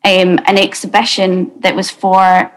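A woman's voice: mid-range voice, monotone, a little slow, a little hiss or hum, rough recording. A woman interviewing a guest in a casual, conversational style.

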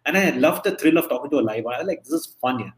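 Fast-talking man telling stories. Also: mid-range voice, lively intonation. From India. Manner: chatty, storytelling, many restarts. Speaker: interview guest, emcee and entertainer. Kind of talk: casual interview talk.

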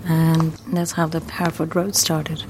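Lady, pretty low voice, rambling with lots of ums, faint hiss behind. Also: level tone, unhurried, darkish tone, low-quality recording. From Croatia. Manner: chatty, hesitant with many ums. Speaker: theater founder. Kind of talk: guided tour interview.

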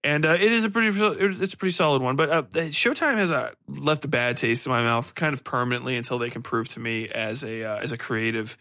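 A man's voice: mid-range voice, fairly quick, hardly any pauses, bright, forceful tone, dry recording. A man giving a casual, unscripted podcast review, rambling and correcting himself, with blunt, sweary opinions. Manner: rambling, hesitant, self-correcting. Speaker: podcast host reviewing books and TV.

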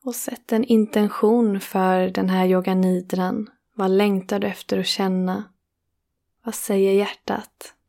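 Woman, meditation guide. Creaky, very even delivery, soothing and unhurried, with generous pauses. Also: mid-range voice. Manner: slow, soothing, with long pauses between phrases. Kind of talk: guided relaxation meditation, yoga nidra.

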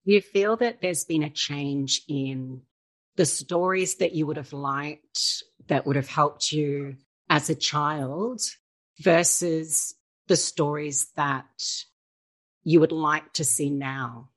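Interviewer: woman, low-pitched voice, slow delivery, thoughtful questioning. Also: steady tone.

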